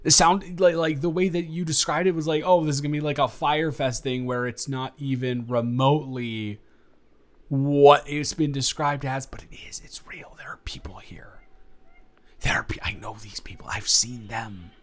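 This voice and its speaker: Man playing an excitable, rambling festival buddy. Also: medium pitch, lightly acted. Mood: hushed amazement. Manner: rambling, emphatic, halting, with dropped asides. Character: excitable, incredulous young male festival buddy and influencer. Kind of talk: improvised audio roleplay to one listener.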